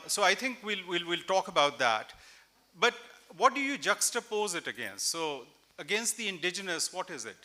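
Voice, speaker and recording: A man in his forties from Pakistan moderating a festival panel on a stage mic, measured and reflective, thinking aloud with a few fillers. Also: fairly high pitch, pushed and bright. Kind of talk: panel discussion at a literary festival. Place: large indoor festival stage with audience. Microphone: stand mic.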